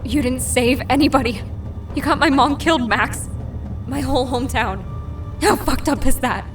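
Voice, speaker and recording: A woman playing a dazed young woman, a haunting vision of a wounded friend in an animated drama. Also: high voice, moderate pace, recorded from a distance, music in the background, lightly acted. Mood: bitter, accusing.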